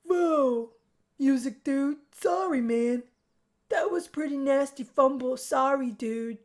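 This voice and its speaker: Girl doing a loud careless football bro, slangy dude talk, visual novel. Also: high-pitched, slow pace. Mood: offhand apology. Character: careless young jock dude, football player. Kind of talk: visual novel character voicing.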